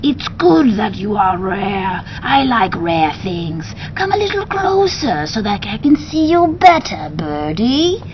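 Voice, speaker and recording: A soft, slithery monster played by a woman reading a storybook, greedy and commanding. The words ooze out very slowly, with a sly menace. The recording is dull, with rumbling noise behind it. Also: sing-song. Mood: sly, pleased. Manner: slow, drawn-out, commanding. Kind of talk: storybook read-aloud.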